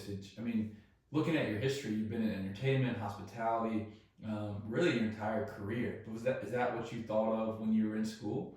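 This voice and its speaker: Man hosting a chat, easygoing, lowish voice, echoey room. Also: a little mellow. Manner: easygoing, encouraging with short replies. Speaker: podcast host. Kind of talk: casual interview chat. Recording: echoey room, distant mic.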